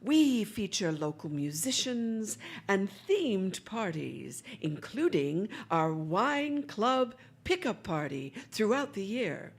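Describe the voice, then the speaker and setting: A woman delivers a scripted sponsor message as an announcer. She speaks slowly in a husky voice, with lively rises and falls. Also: medium pitch.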